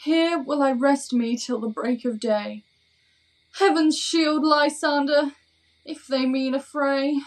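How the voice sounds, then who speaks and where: Woman playing a worn-out heartsick girl, high slow voice, plaintive old verse, audio play. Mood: exhausted, woeful. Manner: plaintive, sighing. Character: exhausted, woeful young woman in love, bedraggled. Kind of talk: Shakespearean verse audio drama.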